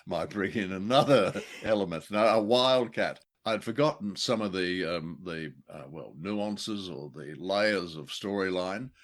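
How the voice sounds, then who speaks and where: A man co-hosting a casual review chat, talking in a wry, jokey way with wordy asides. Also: fairly low pitch. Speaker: podcast co-host. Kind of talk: casual review chat about an old radio play.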